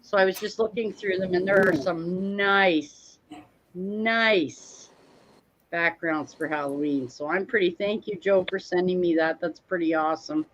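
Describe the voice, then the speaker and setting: A woman hosting a casual live-streamed watch party, chatty and quick to laugh. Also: on the low side, highs cut off, a little hiss or hum. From Canada. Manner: chatty, laughs often, repeats herself.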